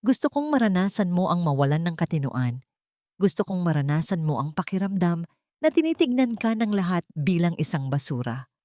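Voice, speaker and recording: A woman narrator plays a wronged wife bent on revenge in a dramatic storybook. She is bitter, defiant and coldly triumphant, taunting in a hard, fairly low voice with very little room sound. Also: sing-song, brisk, warm tone, no room sound, clean studio sound. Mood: cold menace.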